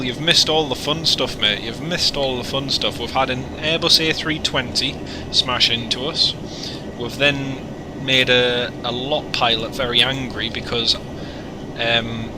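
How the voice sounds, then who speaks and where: Chatty man over engine noise. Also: medium pitch. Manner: chatty, joking, rambling asides. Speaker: flight sim streamer. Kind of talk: casual livestream chat. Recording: noisy, with engine hum.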